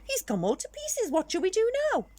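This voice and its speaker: Big storybook skeleton , played by a woman: male, bumbling, playful, puzzled, theatrical, highly animated pitch. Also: brisk, dry recording, full character voice. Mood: puzzled, dismayed.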